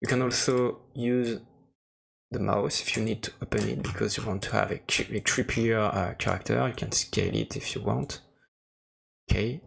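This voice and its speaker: A man who speaks English as a second language, presenting an informal software demo in a halting, hesitant way with frequent pauses. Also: lowish voice, steady tone, gravelly, breathy edge. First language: non-native English speaker.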